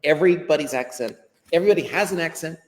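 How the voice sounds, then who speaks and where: A man's voice: on the high side, fast. A middle-aged man with a Western American accent, a language teacher speaking earnestly as a guest over a video call, often restarting his sentences. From western United States. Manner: earnest, emphatic with frequent restarts. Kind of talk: live-stream interview. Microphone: webcam on a video call. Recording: long hall-like reverb.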